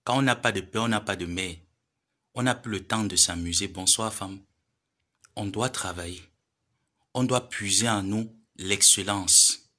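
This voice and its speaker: Man, 30s, bright edgy voice, preachy live-stream lecturer who pleads and scolds. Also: on the low side, a little slow, long pauses. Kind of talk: admonishing social media live monologue.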